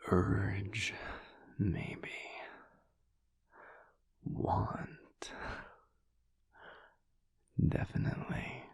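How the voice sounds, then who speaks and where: A man playing a teasing, affectionate werewolf husband in an intimate audio roleplay, murmuring in a breathy, husky whisper. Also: lowish voice, little pitch movement, a little mellow, no room sound, exaggerated voice. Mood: playful, teasing. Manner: slow, murmured, playful delivery with long pauses. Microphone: close mic, dry.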